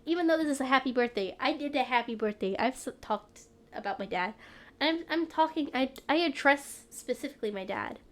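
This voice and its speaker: A woman's voice: high-pitched, expressive, fairly quick. A young woman with a stuffy nose, chatting casually and rambling on a live stream from home. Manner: rambling, trailing off, frequent laughs.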